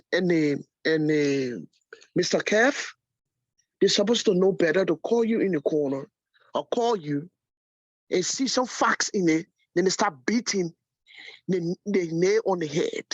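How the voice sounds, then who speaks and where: A woman's voice: fairly low pitch, no echo. A woman phoning in to give casual, opinionated commentary. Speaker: caller. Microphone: phone. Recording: muffled, band-limited phone call.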